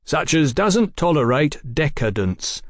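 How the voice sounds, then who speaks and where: A man's voice: medium pitch, big pitch swings, normal speed, no room sound, studio quality, voice pushed up, close to an everyday voice. A man voicing an insolent, self-righteous political heavy in an audiobook, coarse and pompous, leaning on his words. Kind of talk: audiobook character acting.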